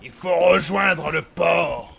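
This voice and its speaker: Very gravelly, cocky old sea captain. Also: male voice, fairly high pitch, slow pace, slight background noise, heavily acted. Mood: boastful. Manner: cocky, loud-mouthed, slangy. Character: gruff, boastful old sea captain, weakened and tipsy.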